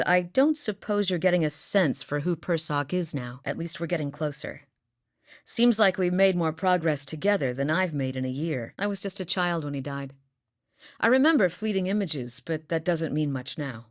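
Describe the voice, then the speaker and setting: A woman's voice: low-pitched, no room sound, studio quality. A woman voicing a determined young woman hunter, earnest and sincere in a video game. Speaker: voice actor. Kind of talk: video game character dialogue.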